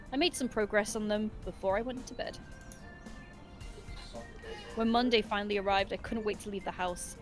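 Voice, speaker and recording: A woman reading a first-person visual novel story aloud as a stream co-host. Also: mid-range voice. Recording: music behind the voice. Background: music.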